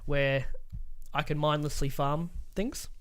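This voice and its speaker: A man's voice: fairly high pitch, slow, smooth. A man, a podcast host, chatting casually about films in a blunt way with plenty of swearing.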